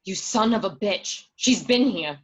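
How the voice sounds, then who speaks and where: A woman's voice: medium pitch, expressive, a little slow, breathy edge, dull audio. A woman acting as an angry, hurt wife of a jailed mobster, accusing and emotional, in a radio drama. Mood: accusing, bitter.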